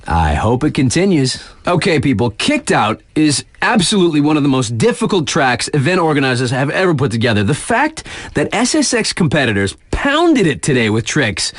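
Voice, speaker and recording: An actor playing a hyped but laid-back radio DJ in a snowboarding video game, chatting enthusiastically in casual slang about events. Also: male voice, fairly high pitch, no echo, clean studio sound. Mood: impressed, then impressed, emphatic.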